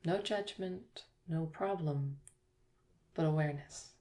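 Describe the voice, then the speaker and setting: A woman yoga teacher guiding a yoga nidra relaxation, speaking slowly and softly with long pauses. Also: low-pitched. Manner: slow, soft, soothing guidance with long pauses.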